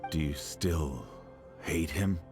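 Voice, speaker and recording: A man playing a gentle, sorrowful older villager in a video game, speaking in a halting, regretful way. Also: a bass voice. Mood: remorseful. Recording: music playing behind the voice.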